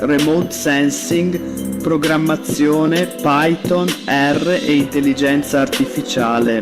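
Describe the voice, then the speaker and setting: Promotional male narrator over music. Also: fairly high pitch. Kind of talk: scripted multilingual promotional narration. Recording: loud music under the voice.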